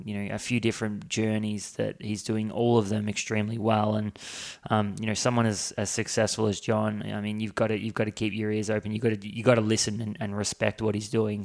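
A man hosting a podcast, introducing the episode with a sore throat and a hoarse voice, rambling with many fillers and restarts. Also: on the low side. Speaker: podcast host.

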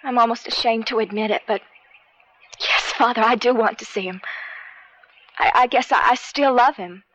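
Torn, lovelorn wife, high voice, radio drama, muffled recording. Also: female voice, normal speed, fairly bright tone. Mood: ashamed, tender. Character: wounded, lovelorn estranged wife confiding in priest or father. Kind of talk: 1970s radio drama acting. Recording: dull old radio recording.

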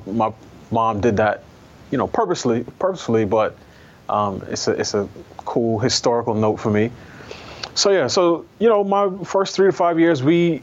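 A man born in Barbados and raised in New York, telling his family story in an interview in a thoughtful, measured way with frequent pauses. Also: medium pitch. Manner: thoughtful, measured, many ums and restarts. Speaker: guest columnist. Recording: slightly dull lo-fi sound.

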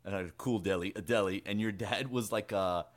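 A man's voice: lowish voice, moderate pace. A man hosting a casual interview, asking playful questions with many restarts. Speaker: interview host.

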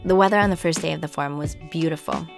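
A woman from Minnesota hosting a podcast, narrating a script in an earnest, measured way. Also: low-pitched, vocal fry, music in the background. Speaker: podcast host.

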